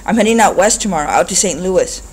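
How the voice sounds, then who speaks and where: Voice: mid-range voice, expressive, fast, a little hiss or hum, natural voice. Lemon Brown, a reader doing a folksy old man. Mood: easygoing. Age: older. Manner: folksy dialect, easygoing. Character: weathered old man, street-wise and unbothered.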